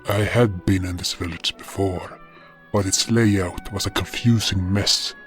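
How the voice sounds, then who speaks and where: Deep-voiced, solemn guardian hero acted by a man. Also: fairly even intonation, measured pace, fairly bright tone. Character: solemn powerful male guardian hero recounting his journey. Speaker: narrator and lead actor. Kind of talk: scripted fantasy audio drama narration. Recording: music behind the voice. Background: music.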